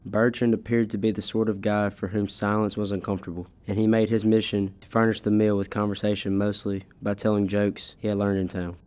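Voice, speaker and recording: A man's voice: fairly low pitch, little pitch movement, a little fast, hardly any pauses, darkish tone, clear, resonant tone, lightly acted. A teenage boy reading scripted storybook narration in an amateur school radio play. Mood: measured. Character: measured storybook narrator of a gothic tale.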